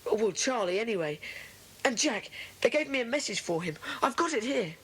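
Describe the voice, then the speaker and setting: A woman playing an anxious, well-spoken schoolboy, earnest and polite with a husky voice, in a children's audiobook drama. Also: on the high side, lively intonation, normal speed, fairly bright tone, low-quality recording. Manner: earnest, polite, old-fashioned schoolchild phrasing.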